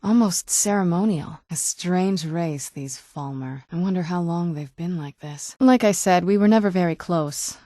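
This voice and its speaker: A woman's voice: fairly low pitch, normal speed, few pauses, dark tone, no echo, clean studio sound, natural voice. A woman playing a young, guarded vampire noblewoman in a video game, with a wry, earnest delivery. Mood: wry, thoughtful. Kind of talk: video game character dialogue.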